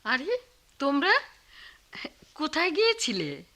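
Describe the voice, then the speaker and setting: Kind outspoken aunt, lively swooping pitch, piercing tone, exclamatory, middle-aged, radio play. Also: female voice, slow, creaky, full character voice. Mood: welcoming. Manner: fussing, exclamatory. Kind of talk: Bengali radio play acting.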